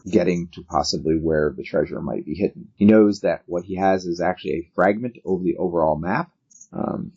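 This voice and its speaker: A man working as game master, narrating a tabletop role-playing game in a halting way, thinking aloud as he goes. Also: deep voice, creaky, darkish tone, slightly rough. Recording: dull, lo-fi sound.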